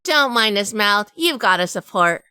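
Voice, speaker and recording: A woman playing a quick-witted, sharp-tongued wife in a hardboiled gangster audio drama, brisk and sardonic. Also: mid-range voice, expressive, clear, resonant tone, dry recording, studio quality. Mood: knowing.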